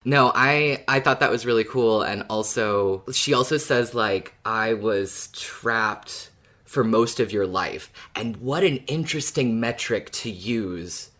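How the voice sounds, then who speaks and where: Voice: mid-range voice, slow, a bit bright. A podcast host who chats casually about a story in a fan discussion and laughs often.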